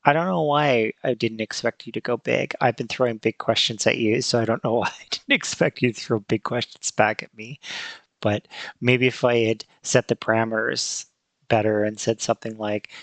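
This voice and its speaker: A man hosting a podcast in casual chat, speaking thoughtfully and hesitantly with many pauses and fillers. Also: medium pitch, steady tone, creaky, a bit bright, dry recording. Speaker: podcast host.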